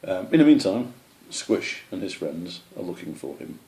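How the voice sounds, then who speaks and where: A man reading a children's story aloud in an expressive narrating voice. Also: low-pitched, moderate pace. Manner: expressive, with character voices for animals. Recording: roomy, echoey sound.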